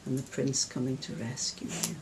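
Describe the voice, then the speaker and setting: An older woman in a casual family interview, speaking gently and reflectively and laughing softly. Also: a bass voice, moderate pace, background noise. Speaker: interviewee, relative recalling bedtime stories.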